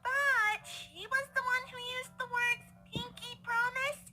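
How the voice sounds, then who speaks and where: A woman's voice: extremely high pitch, fairly even intonation, a little slow, music in the background, voice pushed up. A woman voicing a chirpy, murderous young female cartoon pony who gushes in a bubbly, girlish way in a fan audio drama. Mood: bubbly, chatty.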